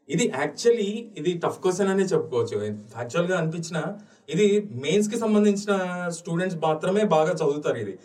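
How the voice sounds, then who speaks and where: A man with a Telugu Indian accent teaches exam questions in a fairly high voice. He moves between Telugu and slow, careful English. The sound is roomy and echoey, picked up at some distance from the mic. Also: a little mellow. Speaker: exam coaching history teacher. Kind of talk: lecture walking through exam questions.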